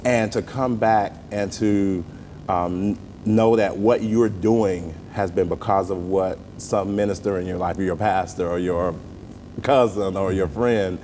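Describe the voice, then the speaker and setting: A man's voice: fairly even intonation, a little slow, dark tone. A middle-aged man with a Southern US accent, chatting earnestly and with some joking as a guest in a casual interview. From southern United States, rural. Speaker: interview guest, stage actor and comedian. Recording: long reverberant hall, faint rumbling noise.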